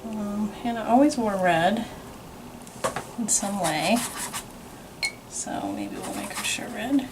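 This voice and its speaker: A woman illustrator talking through a painting demonstration in her studio, thinking aloud and murmuring softly as she works. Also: mid-range voice, animated, takes it slowly, pauses often, noisy background. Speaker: author and illustrator.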